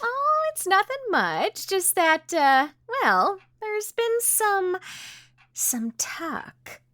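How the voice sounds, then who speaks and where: A prying small-town neighbor lady with a thick North Dakota accent, high, bright and sing-song, drawling slowly through folksy sayings with a sugary, gossipy lilt. Her pitch swoops up and down wildly. The sound is close and dry. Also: big pitch swings, no room sound, exaggerated voice. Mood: fake-friendly.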